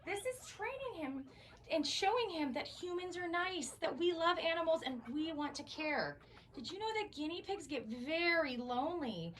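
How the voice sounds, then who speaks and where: A woman's voice: extremely high pitch, animated, normal speed, a bit bright. A woman giving an educational tour of an animal shelter for children, explaining things simply and enthusiastically. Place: indoor animal shelter rooms. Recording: distant mic, faint background noise.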